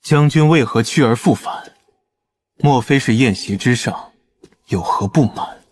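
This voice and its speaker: A man's voice: medium pitch, a little slow, close to an everyday voice. A man playing a courteous, scheming crown prince in a Chinese costume drama. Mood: feigned surprise.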